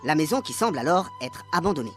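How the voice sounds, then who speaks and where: A woman's voice: low voice, very animated, fast. A woman narrator reading a scripted radio serial in a mock-literary storytelling manner. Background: music.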